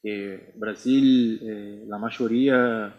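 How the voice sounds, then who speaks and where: A man from Brazil, native Portuguese speaker, co-hosting a casual podcast chat, talking haltingly with frequent restarts and repeated words. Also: lowish voice, steady tone, lo-fi sound. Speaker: podcast co-host, missionary.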